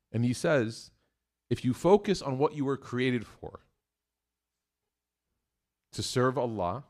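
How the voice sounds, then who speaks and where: A man with a middle-pitched voice delivers a sermon to a gathered audience. He talks in a casual, joking way, at times rising to loud emphasis and then dropping to quiet, earnest remarks. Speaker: mosque speaker. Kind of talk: live sermon. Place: room with an audience.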